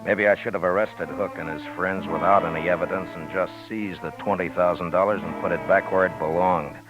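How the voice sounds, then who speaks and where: An actor playing a steady, firm frontier marshal who speaks in a low, measured, understated way in a 1950s radio drama. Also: male voice, close to an everyday voice. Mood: reflective. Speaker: lead and narrator. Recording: lo-fi old radio broadcast with music.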